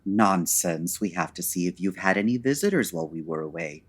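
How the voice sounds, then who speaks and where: An actor playing a suave, unflappable gentleman detective in an old-time radio style comedy, with dry, urbane, formal wording. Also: low voice, animated, a little fast, slightly rough. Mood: composed.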